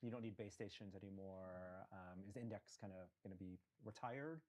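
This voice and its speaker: A man's voice: low voice, normal speed, a little mellow. A man interviewing a product developer, firing off probing follow-up questions about the technology. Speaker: tech interviewer. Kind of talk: tech interview.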